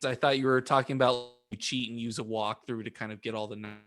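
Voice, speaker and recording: A man co-hosting a podcast with casual, jokey banter, halting and tripping over his words. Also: medium pitch, steady tone, fairly bright tone. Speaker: podcast co-host. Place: remote video call.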